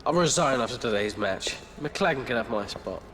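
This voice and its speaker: A man's voice: medium pitch, expressive, moderate pace, a bit bright, slight background noise, close to an everyday voice. An actor playing a cocky, blunt, good-natured teenage boy in a video game. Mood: glum.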